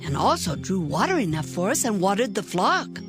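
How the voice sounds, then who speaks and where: A woman's voice: medium pitch, very animated, normal speed, natural voice. A woman playing an eager young daughter of a desert priest in a dramatized scripture reading. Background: music.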